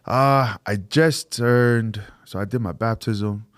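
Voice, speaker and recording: A young man from American Samoa, a mixed martial arts fighter, talking casually as a podcast guest, relaxed and saying "bro" often. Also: medium pitch, slow pace, no room sound, studio quality. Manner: laid-back, says "bro" and "yeah" a lot, laughs easily. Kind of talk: casual interview chat.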